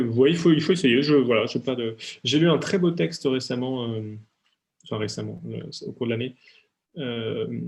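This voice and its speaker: A young man talks over a video call, an author fielding questions. He speaks in halting bursts, often stopping to restart a thought, at an ordinary middle pitch. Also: creaky, a little mellow. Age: young adult. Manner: hesitant, many fillers and restarts. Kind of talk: talk with audience questions, then reading aloud. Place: at home for an online talk.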